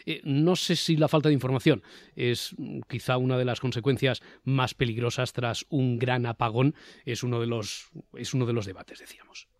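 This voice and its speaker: A man's voice: mid-range voice, steady tone, normal speed, no echo, clean studio sound. A man with a Castilian Spanish accent hosting a round-table podcast, articulate and fond of rhetorical questions. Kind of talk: semi-scripted panel moderation.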